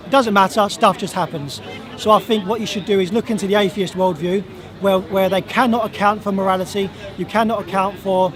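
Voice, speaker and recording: Hoarse high-voiced man arguing outdoors. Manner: insistent, argumentative, repeats himself when cut off. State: hoarse, losing his voice. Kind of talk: outdoor street debate. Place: outdoors in a crowded public park. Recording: outdoor crowd noise.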